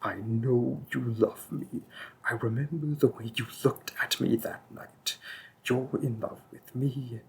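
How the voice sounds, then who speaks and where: A man voicing a possessive, seductive male demon king in an audio roleplay, speaking softly and often in a whisper, slow and teasing. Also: mid-range voice, fairly even intonation, gravelly, slight background noise, rough recording. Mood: insistent.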